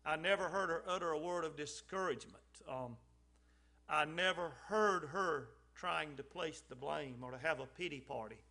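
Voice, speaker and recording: A man's voice: fairly high pitch, moderate pace, sharp tone. A man speaking as a lay speaker at a church service. His delivery is folksy and conversational, with frequent ums and restarts, and he rises in emphasis on key phrases. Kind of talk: informal church message.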